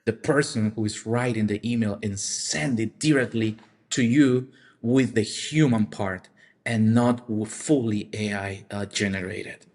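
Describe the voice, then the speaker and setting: A man co-hosting a casual podcast, speaking haltingly with repeated words and non-native phrasing. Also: on the low side, darkish tone. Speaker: podcast co-host.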